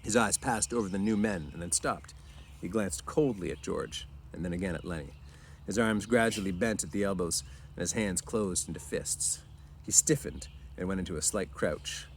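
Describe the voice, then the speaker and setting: A man narrating a story in an informal read-aloud, often clearing his throat. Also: low voice, normal speed, slight background noise. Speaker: reader.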